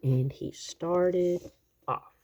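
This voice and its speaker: A woman reading a children's picture book aloud expressively, with dramatic, drawn-out emphasis on key words. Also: lowish voice, darkish tone. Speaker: children's story reader.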